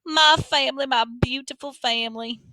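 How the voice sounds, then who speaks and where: A woman's voice: high-pitched, lively intonation, unhurried, sharp tone, no room sound, voice pushed up, exaggerated voice. A woman voicing a feisty, doting old grandmother with a Southern US accent in a story, exclamatory, folksy and bossy. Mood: doting, exclaiming.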